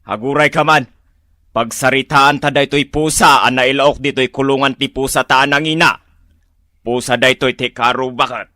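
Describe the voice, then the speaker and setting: An actor in a radio drama playing an excitable policeman husband with an Ilocano accent, given to exclamations and shouted calls. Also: mid-range voice, normal speed, dry recording, clean studio sound, close to an everyday voice.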